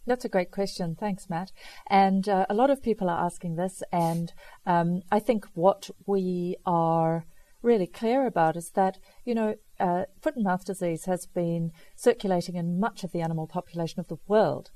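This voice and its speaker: A woman with a South African accent, a chief veterinary officer answering interview questions in a measured, explanatory way. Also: on the low side, dark tone, no echo.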